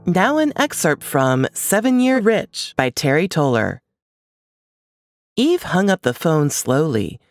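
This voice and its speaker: A woman's voice: sits low, big pitch swings, slow pace, no room sound, faint music behind. A woman reading scripted suspense fiction as an audiobook narrator.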